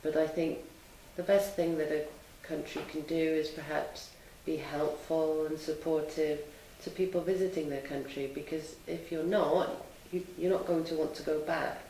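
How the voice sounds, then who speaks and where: A woman in her thirties answers interview questions calmly and thoughtfully, and her voice carries a gravelly edge. She sounds a little distant from the mic in a reverberant room, with faint hiss underneath. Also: low voice. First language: English. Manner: calm, measured, thoughtful. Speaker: teacher.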